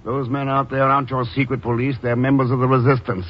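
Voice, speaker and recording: An actor playing a calm, dryly sardonic espionage hero with a husky voice in a 1950s radio drama. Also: male voice, mid-range voice, fast, slight background noise, lightly acted. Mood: cool, assured. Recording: muffled old radio recording.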